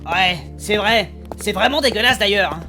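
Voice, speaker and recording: A man's voice: high-pitched, normal speed, bright, forceful tone, faint music behind. A man playing a crude, impatient, easily panicked adventurer who swears and hesitates in a comedic fantasy audio drama. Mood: hesitant agreement.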